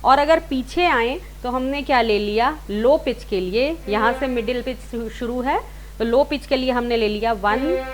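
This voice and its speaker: A woman's voice: a little high, measured pace. A woman teaching a singing lesson step by step, informally rephrasing and repeating her points and mixing in English words. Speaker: singing teacher, series host.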